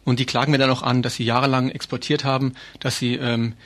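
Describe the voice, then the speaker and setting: A man's voice: mid-range voice, little pitch movement, fast talker, fairly bright tone. A man explaining things informally in an interview, often rephrasing as he goes. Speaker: interviewee, arms-trade researcher and activist.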